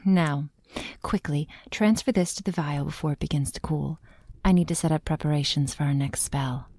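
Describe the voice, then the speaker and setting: A woman playing a possessive, doting witch in an intimate ASMR roleplay, speaking softly and unhurriedly, often slipping into a whisper. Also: low-pitched, husky, dry recording. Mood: pleased, doting. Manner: soft, unhurried, affectionate, often dropping to a whisper.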